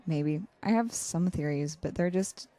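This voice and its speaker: A woman's voice: low voice, normal speed, warm tone, no room sound, close to an everyday voice. A young woman playing a cerebral, slightly awkward student in an audio drama, analytical in the way she talks. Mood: curious, analytical. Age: young adult.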